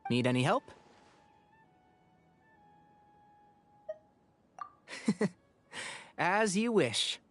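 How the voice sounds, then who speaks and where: A man voicing a gentle, good-humored young scholar in a game, with a soft, amused manner. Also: sits high, sing-song, slow, exaggerated voice. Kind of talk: game voice acting.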